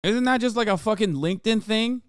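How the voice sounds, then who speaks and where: Sarcastic, profane male streamer, crisp voice. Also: sits high, no room sound, studio quality. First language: Turkish. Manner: profane, sarcastic, rapid rants. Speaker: streamer host. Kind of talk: casual live-stream news commentary.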